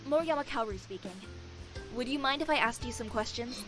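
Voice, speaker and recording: A woman voicing a poised, wily high-society young lady in a visual novel game, polite and formal. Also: lively intonation, brisk, over music, natural voice. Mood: polite, sly.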